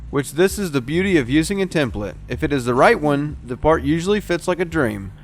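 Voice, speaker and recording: A man's voice: fairly high pitch, animated, fairly quick, soft background music. A man narrating a step-by-step boat repair how-to as the show's technician host. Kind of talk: explanatory how-to voice-over narration.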